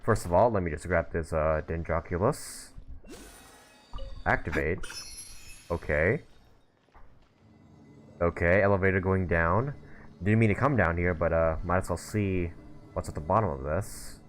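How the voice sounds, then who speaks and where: Very deep-voiced man streaming a game. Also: a little hiss or hum. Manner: halting, thinking aloud. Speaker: livestreamer playing a video game. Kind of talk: casual live gameplay commentary.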